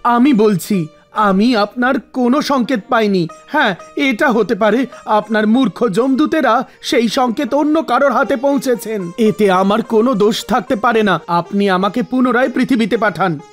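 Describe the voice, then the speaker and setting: An actor voicing a stubborn old mortal man in an animated mythological story, arguing insistently with the gods. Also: medium pitch, normal speed, soft background music, close to an everyday voice. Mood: accusing. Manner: argumentative, accusing, insistent.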